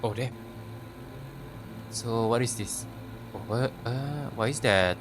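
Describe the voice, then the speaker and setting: A man's voice: medium pitch, slow pace, noisy background. A man doing casual let's play commentary, stumbling as he reads text aloud and swearing freely. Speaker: gaming video host.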